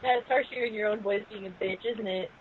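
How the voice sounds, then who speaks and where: A woman's voice: medium pitch, fairly even intonation, quick pace, husky, narrow, tinny audio. A woman chatting casually and jokingly about a film with friends over an internet call. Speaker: co-host of a movie riffing show. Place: remote voice call, indoors.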